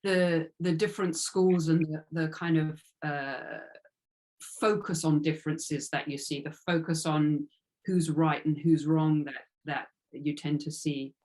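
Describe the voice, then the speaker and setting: A woman with a low voice speaks as a guest in an interview. She is reflective and halting, circling back over words as she searches for the next thought. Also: steady tone, darkish tone. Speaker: interviewed author.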